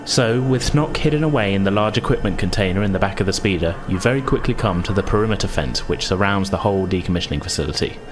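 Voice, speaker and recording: A man's voice: sits low, expressive, fast, few pauses. A man narrating a tabletop roleplaying game as game master, descriptive and conversational.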